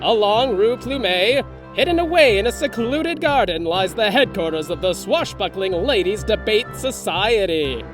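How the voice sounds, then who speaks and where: A man's voice: sits high, sing-song, moderate pace. A man playing a dramatic narrator, reading a scripted adventure serial. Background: music.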